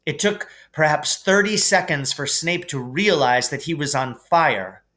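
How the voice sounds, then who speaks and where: Slow-reading male storybook narrator. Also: on the high side, fairly bright tone. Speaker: reader.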